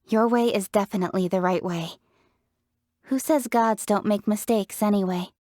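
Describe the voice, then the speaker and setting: A woman's voice: mid-range voice, normal speed, mellow, dry recording, clean studio sound, lightly acted. An actress playing a confident, proud young woman leader in a fantasy video game, speaking in an articulate, earnest, self-assured way. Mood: encouraging. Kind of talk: scripted video game character voice lines.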